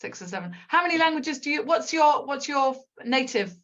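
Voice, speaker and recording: A woman's voice: high-pitched, animated, brisk, a bit bright, lo-fi sound. A woman hosting a group discussion on a video call, friendly as she asks questions and keeps the talk moving. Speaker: host of the discussion event.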